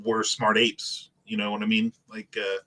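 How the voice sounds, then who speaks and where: Low-voiced man in loose casual chat. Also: monotone, bright, forceful tone. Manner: loose, halting, full of "like" and "you know". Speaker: podcast co-host.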